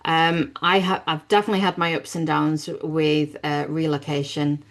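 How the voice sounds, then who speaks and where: Low-voiced British woman, chatty. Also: level tone, moderate pace. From Britain. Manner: open, chatty, self-deprecating, many fillers. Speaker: business community founder, webinar host. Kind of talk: webinar introduction.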